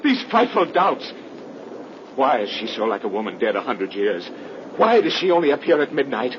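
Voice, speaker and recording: An earnest, troubled young man, played by a male actor in a 1930s radio melodrama. His middle-pitched voice moves with great expression, and the recording is dull and full of old hiss. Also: big pitch swings, normal speed, natural voice. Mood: anguished. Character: earnest, anguished young man, a devoted brother. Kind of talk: 1930s radio horror acting.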